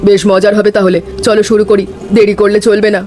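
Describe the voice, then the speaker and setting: Woman acting quick cheerful village mother-in-law. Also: medium pitch, level tone, close to an everyday voice. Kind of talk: cartoon story dialogue. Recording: music behind the voice.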